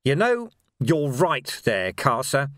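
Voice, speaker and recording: A man voicing a gruff, righteous middle-aged policeman in an audiobook, speaking in emphatic, colloquial working-class phrases. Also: mid-range voice, huge pitch swings, slow, a bit bright, no echo, studio quality, voice pushed up, exaggerated voice. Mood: grim triumph. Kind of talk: dramatic audiobook character dialogue.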